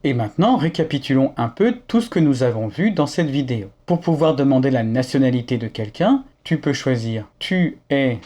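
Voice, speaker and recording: Lively, mellow-toned male teacher. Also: mid-range voice, moderate pace, few pauses. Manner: clear, patient explanations addressed to the learner. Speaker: French teacher. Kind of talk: scripted lesson narration.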